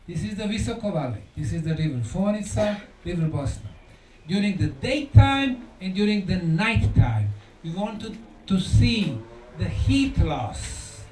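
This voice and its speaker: A man giving a conference lecture in an emphatic, deliberate way, repeating key words for effect. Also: high voice, very animated, darkish tone, faint music behind. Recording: echoey room, distant mic, faint noise.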